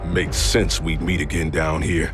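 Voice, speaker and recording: An actor playing a gruff male soldier in a video game cutscene, blunt and slangy with a rough edge. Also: rumbling low, flat delivery, unhurried. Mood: hostile. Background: game score music.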